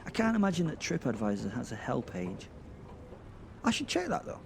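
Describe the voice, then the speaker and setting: A British man narrating a darkly comic audio drama in the first person, with wry, conversational, halting asides. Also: medium pitch, big pitch swings, gravelly, background noise.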